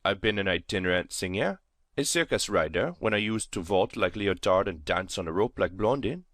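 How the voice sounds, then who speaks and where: A man's voice: low-pitched, expressive, moderate pace, a bit bright, no room sound, clean studio sound. A man playing a talkative, polite manservant of thirty in a dramatized audiobook, speaking deferentially. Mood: eager, courteous. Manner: deferential, formal, explaining himself at length. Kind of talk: dramatized audiobook character dialogue.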